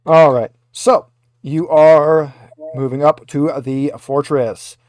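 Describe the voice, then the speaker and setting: A man with a slightly higher-than-average voice runs a tabletop roleplaying game. He narrates and explains in an informal way, and the sound is dry with little room in it. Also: steady tone, takes it slowly, darkish tone, no room sound. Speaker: game master.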